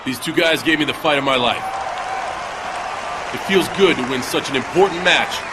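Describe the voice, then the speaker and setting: An actor voicing a cocky male champion wrestler in a video game cutscene, talking in a relaxed, boastful way. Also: fairly high pitch, normal speed, close to an everyday voice. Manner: cocky, relaxed victory talk. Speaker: wrestler who won the match. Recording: off-mic voice under loud arena crowd noise.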